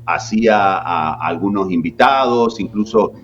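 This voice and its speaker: Actor de doblaje argentino, charla relajada, muchas muletillas, timbre bajo. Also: male voice, on the low side, normal speed, lo-fi sound, slight background noise. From Jujuy, Argentina. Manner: chatty, many fillers. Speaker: voice actor guest. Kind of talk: relaxed interview storytelling.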